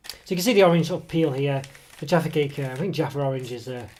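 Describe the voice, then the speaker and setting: A man's voice: a little high, fast, a little mellow, a little hiss or hum. A man with a cold reviewing a snack at an indoor table, talking in a rambling, hesitant way with many fillers and self-corrections, recorded on a table camera mic. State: has a cold, voice coming and going. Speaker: food reviewer. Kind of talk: casual product review.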